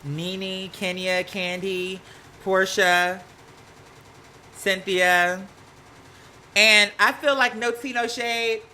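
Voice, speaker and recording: A live stream host with an American accent chatting casually and gossiping, sassy and animated, slangy and rambling from one aside to the next. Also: mid-range voice, drawn-out words, pauses often, slight background noise. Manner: sassy, slangy, peppered with "girl" and "honey". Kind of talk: casual live chat to viewers, gossipy commentary.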